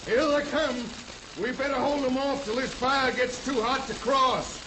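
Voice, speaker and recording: An actor playing a rough, bossy outlaw gang leader in an old-time radio western, on a noisy recording. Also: male voice, very high-pitched, steady tone, unhurried. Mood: tense.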